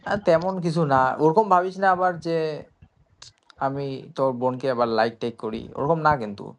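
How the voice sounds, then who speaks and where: A man's voice: mid-range voice, expressive, brisk. A young man in a casual phone chat, talking in a hesitant, rambling way. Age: young adult. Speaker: prank caller.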